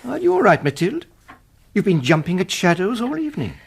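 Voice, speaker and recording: A man's voice: sits high, wildly swooping pitch, a little fast, gravelly, breathy edge, exaggerated voice. An actor playing an absent-minded, devoted elderly scientist father in a radio drama, with formal diction. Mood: concerned, fatherly.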